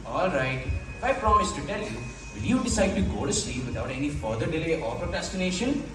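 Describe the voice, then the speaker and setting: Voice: mid-range voice, very animated, a little fast, background noise, lightly acted. A young actor plays a stern yet indulgent guardian spinning a bedtime tale in theatrical verse. The delivery swings widely and formally, distant in a very echoey hall. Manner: wordy, formal, dramatic.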